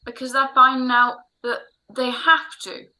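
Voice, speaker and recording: A woman's voice: sits high, little pitch movement, a little slow, sharp tone, full character voice, rough recording. A woman playing an earnest, restless, dutiful son in a radio drama. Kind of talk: classic radio drama acting.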